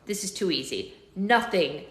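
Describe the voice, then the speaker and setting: A woman voicing a sarcastic, scheming young boy, pitching her voice lower. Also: lively intonation, moderate pace, roomy sound, lightly acted.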